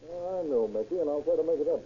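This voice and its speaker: A man's voice: on the high side, lively intonation, racing, breathy edge. A man playing a glib, evasive petty crook in an old radio crime drama, breezy and slangy. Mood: evasive, uneasy. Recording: tinny, band-limited old radio recording.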